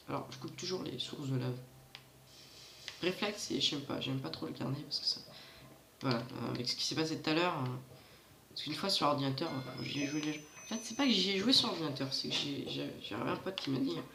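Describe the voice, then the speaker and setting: A man's voice: a little high, vocal fry, reverberant, noisy background. A man giving casual, rambling let's-play commentary straight to his viewers, with a stuffy nose from hay fever. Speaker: gaming channel host.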